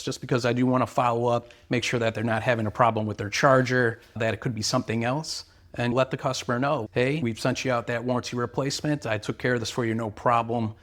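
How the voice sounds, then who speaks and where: A man's voice: mid-range voice, fairly bright tone. A man, an earnest, sales-minded company representative explaining products and service in interview answers.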